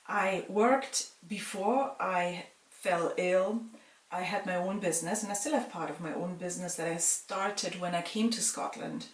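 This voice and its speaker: A middle-aged woman from Germany calmly answers viewer questions in a casual vlog, pausing with frequent ums. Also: lowish voice, distant mic. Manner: calm, measured, with frequent ums. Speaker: vlogger. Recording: roomy sound from away from the mic.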